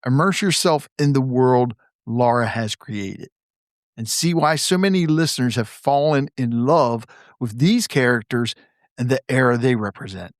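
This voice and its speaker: A man's voice: mid-range voice, dry recording. A man hosting a podcast, eager and upbeat with a guest, turning thoughtful as he wraps up. His voice sits in a middle range and is recorded close and dry, with no room sound around it. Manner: eager, enthusiastic, reflective when wrapping up. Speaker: podcast host. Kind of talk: interview and closing monologue.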